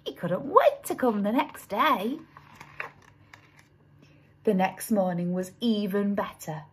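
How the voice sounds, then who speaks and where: A woman narrating a children's story expressively, with dramatic, drawn-out emphasis on key words. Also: mid-range voice, expressive, a little hiss or hum. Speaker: storybook reader.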